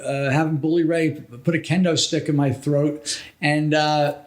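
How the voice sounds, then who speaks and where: A middle-aged man with a notably high-pitched voice hosts a podcast. He talks in a casual, conversational way, wandering and halting as he reminisces. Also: little pitch movement. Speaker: podcast host and wrestling veteran.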